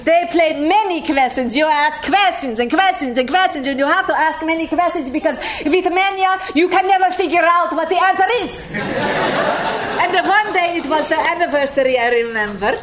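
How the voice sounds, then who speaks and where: High-voiced woman with an Icelandic accent. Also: moderate pace, a bit bright, a little hiss or hum. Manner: rambling, chuckling as she talks. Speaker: speaker sharing memories of a friend. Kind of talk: casual storytelling to a gathering.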